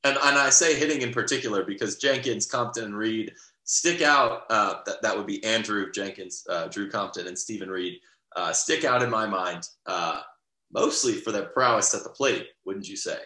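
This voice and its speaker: Young male podcast co-host, rambling sports chatter, bright tone, echoey room. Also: on the low side, normal speed. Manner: rambling, lots of restarts and fillers. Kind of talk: casual sports podcast chat.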